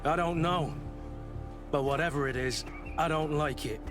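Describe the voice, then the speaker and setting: An actor playing a blunt, commanding guard captain with a clipped, direct and sardonic manner in video game dialogue. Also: male voice, a little high, moderate pace, music in the background, natural voice. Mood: suspicious.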